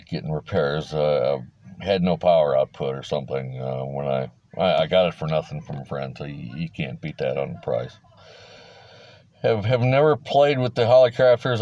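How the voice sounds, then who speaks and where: A man's voice: sits low, a little mellow, no room sound, dull audio. A middle-aged man giving a casual, rambling, plain-spoken explainer in a folksy way, like a hobbyist talking to fellow enthusiasts. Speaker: hobbyist presenter. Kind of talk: casual informal explainer monologue.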